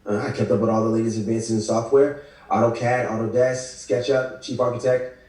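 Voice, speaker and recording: An actor playing a guarded, hopeful ex-con in a naturalistic table read. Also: male voice, medium pitch, level tone, a little fast, a little mellow, natural voice. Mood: guarded, earnest. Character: despondent but hopeful male ex-con, aspiring architect. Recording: echoey room, distant video call mic.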